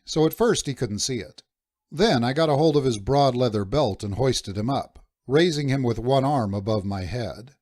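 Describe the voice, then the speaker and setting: A man reading scripted first-person adventure fiction as an audiobook narrator, in a measured, dramatic storytelling manner. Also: mid-range voice, a little mellow, no room sound, clean studio sound.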